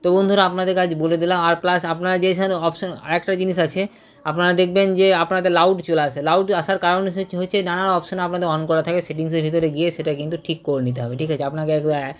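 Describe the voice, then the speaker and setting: A man's voice: sits high, flat delivery, very fast. A man with an Indian Bengali accent giving an informal, unscripted tutorial, repeating himself and stumbling over words as he checks that listeners follow. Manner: repetitive, stumbling, with frequent tag questions. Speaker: tech tutorial presenter.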